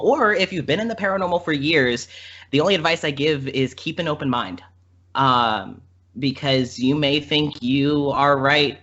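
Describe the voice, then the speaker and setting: A man's voice: medium pitch, a bit bright. Dull-sounding man, earnest podcast guest. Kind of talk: casual podcast conversation.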